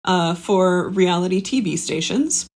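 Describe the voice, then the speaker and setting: Slow-paced woman chatting as podcast guest. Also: on the low side, lively intonation, darkish tone. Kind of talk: casual chat.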